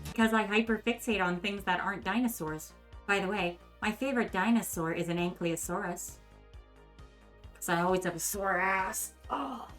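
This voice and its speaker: A woman giving a dramatic reading with her own commentary in an animated, sardonic manner. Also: mid-range voice, normal speed, soft background music. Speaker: video host.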